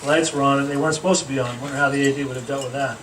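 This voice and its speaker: A man reading a comedic roast speech aloud to a gathering, teasing with deliberate pauses. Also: mid-range voice, steady tone, fairly bright tone. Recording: echoey room, distant mic, noisy background.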